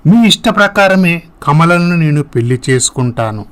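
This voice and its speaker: A man's voice: fairly high pitch, animated, normal speed, natural voice. A man voicing a dutiful, respectful young adult son, polite and earnest, in a scripted moral story. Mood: obedient.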